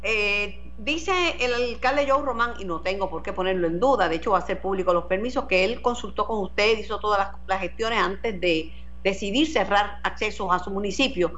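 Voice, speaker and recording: A woman's voice: medium pitch, moderate pace, a bit bright, lo-fi sound, slight background noise. A Puerto Rican woman with a Puerto Rican Spanish accent, hosting a news radio show and questioning officials in an earnest, direct way. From Puerto Rico.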